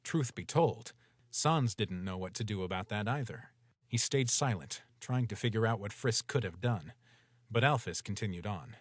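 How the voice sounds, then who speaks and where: A man reading a story aloud in a steady, even storytelling manner. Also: on the low side, normal speed, no echo, clean studio sound. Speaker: narrator. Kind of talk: scripted story narration.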